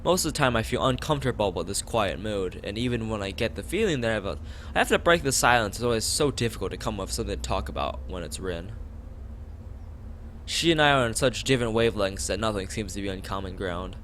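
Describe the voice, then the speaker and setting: A man's voice: fairly low pitch, expressive, fast, a bit bright, slight background noise. A young man reading a game's text aloud on a casual stream, rambling into asides and stumbling over lines. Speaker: streamer playing a visual novel.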